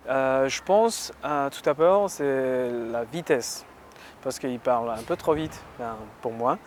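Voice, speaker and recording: A man with a Chinese accent speaking in a documentary interview, hesitant, with many pauses. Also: mid-range voice, a little hiss or hum. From China.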